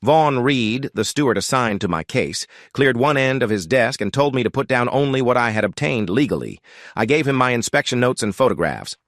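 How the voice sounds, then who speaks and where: A man narrating a scripted first-person story in a measured, deliberate way, like an audiobook. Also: mid-range voice, a bit bright, no echo, studio quality.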